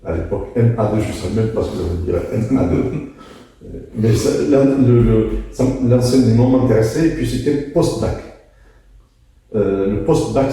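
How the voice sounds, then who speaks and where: Echoey, dark-voiced man in his sixties. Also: lowish voice, creaky. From Morocco, moved to France at thirteen. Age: 60s. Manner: halting, deliberate, repeats words for emphasis. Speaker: interview guest, physics teacher. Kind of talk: reflective conversational interview answers. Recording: very echoey room, distant mic.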